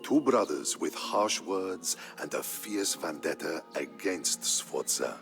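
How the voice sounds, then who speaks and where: A man narrating a historical tale in a scripted, dramatic style, solemn and measured. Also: deep voice, animated, creaky. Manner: solemn, measured storytelling delivery. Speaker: narrator of a strategy game campaign story. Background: background music.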